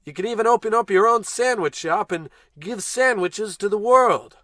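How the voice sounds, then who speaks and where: A man acts out a self-important American space captain from a comic radio play. He barks orders grandly and drops callous, dry asides in a fairly high male voice, recorded close and dry. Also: moderate pace, a bit bright, no echo. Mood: scheming, grandiose. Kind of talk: comic old-time radio play acting.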